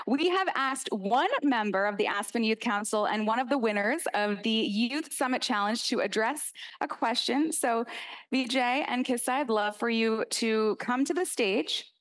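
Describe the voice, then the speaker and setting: A woman's voice: on the high side, fairly bright tone, rough recording. A young woman hosting a stage event, welcoming and directing speakers. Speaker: event host.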